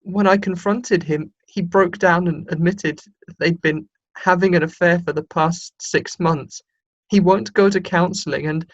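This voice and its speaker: A woman playing in an amateur radio comedy sketch, reading advice-column letters aloud earnestly and plainly. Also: fairly low pitch. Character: reluctant sound technician pressed into reading agony-aunt letters. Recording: dull, band-limited recording.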